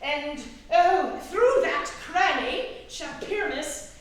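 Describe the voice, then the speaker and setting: A fussy, bossy troupe leader played by a woman with a very high voice and slow delivery, instructing with exasperation. It is stage comedy, picked up far off in a strongly echoing space. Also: expressive. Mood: eager organizing. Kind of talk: staged reading of Shakespeare comedy. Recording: roomy echoing hall, distant mic, faint noise.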